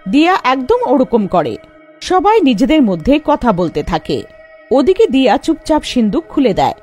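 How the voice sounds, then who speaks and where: A woman's voice: sits high, very animated, moderate pace, a little mellow, breathy edge, faint music behind. A woman giving a scripted narration of a horror fairy tale.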